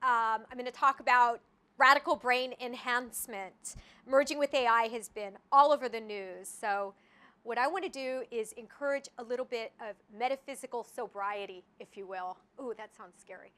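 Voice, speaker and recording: A woman giving an academic lecture to an audience, lively, with asides and rhetorical questions tagged on with "right?". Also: on the high side, normal speed. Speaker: guest lecturer. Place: lecture hall. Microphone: handheld or podium mic.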